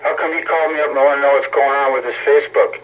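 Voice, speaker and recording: A man's voice: mid-range voice, fairly even intonation, very fast. A middle-aged American man arguing over CB radio in a sarcastic, taunting, profane manner. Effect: CB radio transmission. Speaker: CB radio operator. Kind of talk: argumentative radio banter. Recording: tinny CB radio signal, faint static.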